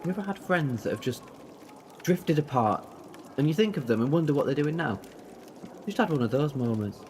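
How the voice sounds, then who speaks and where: A man's voice: fairly high pitch, lively intonation, brisk, darkish tone, a little hiss or hum, close to an everyday voice. A man playing an eccentric, defiant, youthful-looking time traveller in an audio drama, rambling in a playful, theatrical way. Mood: wistful, earnest. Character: eccentric, whimsical, defiant time-travelling alien Doctor who looks young.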